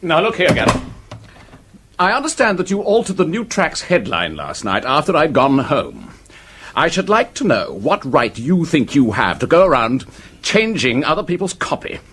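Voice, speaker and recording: A man's voice: on the high side, wildly swooping pitch, moderate pace, fairly bright tone, slightly rough, full character voice. A man playing an indignant, hot-tempered younger office manager in a radio drama, blustering and peremptory with an English accent. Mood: furious.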